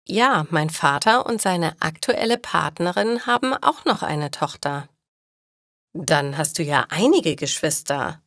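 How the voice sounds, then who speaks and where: A woman reading scripted learner stories as narrator, in a measured, carefully enunciated way. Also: low-pitched, a bit bright, no room sound, studio quality. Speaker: story narrator for language learners.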